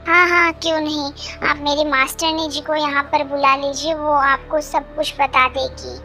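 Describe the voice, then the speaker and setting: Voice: high-pitched, flat delivery, normal speed, bright, forceful tone, faint music behind, full character voice. A performer voicing an earnest, honest, polite young schoolboy in a cartoon. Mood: earnest pleading.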